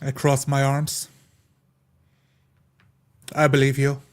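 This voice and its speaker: A man playing a stern, watchful adventurer in a tabletop roleplay, speaking in terse, deliberate phrases. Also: medium pitch, monotone, natural voice. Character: stern, watchful hawk-eyed adventurer of few words. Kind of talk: tabletop roleplay, narrating own character.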